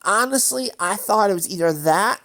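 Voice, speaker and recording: A woman's voice: medium pitch, lively intonation, normal speed, a bit bright. A woman hosting a gaming channel, sounding congested and nasal from allergies, chatting casually in a meandering way with lots of fillers and self-corrections. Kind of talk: rambling casual chat over gameplay.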